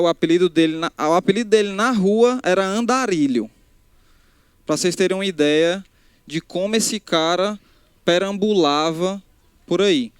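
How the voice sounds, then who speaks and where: Young preacher, higher-pitched voice, smooth and clear, dry close mic, speaks conversationally to a live crowd. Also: male voice, dry recording. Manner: conversational, addresses crowd directly, frequent restarts. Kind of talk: casual live sermon. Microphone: handheld microphone.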